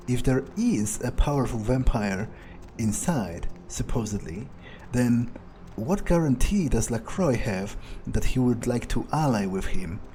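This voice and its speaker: Mellow man musing through game roleplay. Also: medium pitch, a little hiss or hum, rough recording. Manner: musing, thinking aloud. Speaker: gameplay video host. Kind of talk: in-character video game roleplay commentary.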